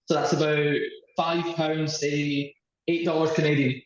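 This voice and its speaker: A man's voice: on the high side, little pitch movement, a little slow. Young adult Scottish male podcast guest. Chatty, easygoing delivery, heavily reverberant room, muffled lo-fi sound. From Scotland. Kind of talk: casual chat. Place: echoey indoor room at a hostel.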